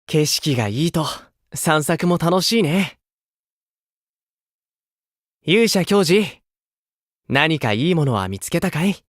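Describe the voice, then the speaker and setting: An actor playing a gentle, playful grown man, a caring older teammate in an idol game, with a coaxing manner. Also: on the high side, lively intonation, slow, long pauses, dry recording, studio quality. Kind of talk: Japanese mobile game voice acting.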